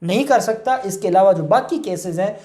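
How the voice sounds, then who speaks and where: A man with a Pakistani accent giving an informal legal explanation, halting and rephrasing his points as he goes. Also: high-pitched, animated, darkish tone. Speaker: lawyer explaining law. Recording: roomy, echoing indoor sound.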